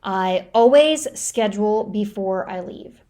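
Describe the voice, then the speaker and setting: A woman's voice: medium pitch, lively intonation, slow pace, dark tone. An American woman speaking solo as a podcast host, giving lightly scripted advice in a conversational, practical, explanatory way. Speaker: professional speaker.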